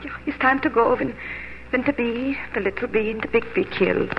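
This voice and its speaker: A woman playing an anxious, kindly immigrant farm wife with a Scandinavian accent and halting broken English, in 1940s radio style. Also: on the high side, natural voice. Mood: resigned. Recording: muffled, hissy old radio broadcast.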